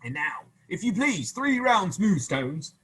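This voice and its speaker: Picture a man putting on the voice of a band of hungry storybook soldiers, calling out loud and high above his own voice. They stretch their words slowly, coaxing and grand. He performs them in his read-aloud. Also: big pitch swings, slight background noise, full character voice, rough recording. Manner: loud, raised, drawn-out calls. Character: hungry clever wandering soldiers, grown men.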